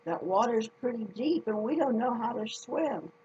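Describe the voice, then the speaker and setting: A woman's voice: a little high, animated, moderate pace, darkish tone, husky, lo-fi sound, pitched above the performer's own voice. A woman voicing a cautious ten-year-old frontier boy, a loyal friend in a children's storybook. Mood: worried.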